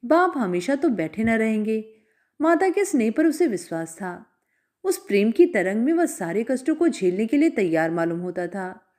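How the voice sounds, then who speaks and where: Expressive woman storyteller, lively pitch. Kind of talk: literary story narration.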